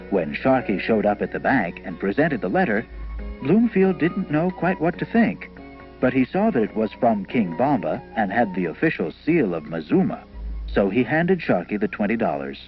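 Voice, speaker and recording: A man's voice: medium pitch, lo-fi sound. A man narrating a scripted children's storybook tale on an old educational film soundtrack. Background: music.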